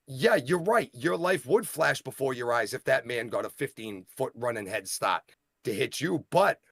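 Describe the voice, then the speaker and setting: Rambling excitable Boston man. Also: mid-range voice, dry recording, studio quality. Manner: rambling, self-interrupting, excitable. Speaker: wrestling show co-host. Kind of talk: casual wrestling review chat.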